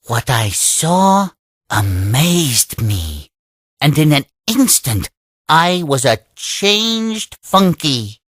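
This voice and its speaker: An actor playing a wise old creature leader in a video game, telling a tale in a solemn, deliberate, sage-like way. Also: male voice, fairly high pitch, no echo, studio quality, full character voice. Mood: wistful, awed. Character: wise old male Oni leader creature, serene storyteller. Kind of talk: scripted storybook narration for a game cutscene.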